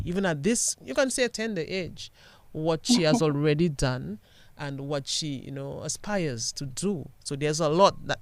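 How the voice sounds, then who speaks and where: A man's voice: a little high, clear, resonant tone, no room sound. A man hosting a radio talk show, encouraging his guest and reading out listener comments. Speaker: radio host.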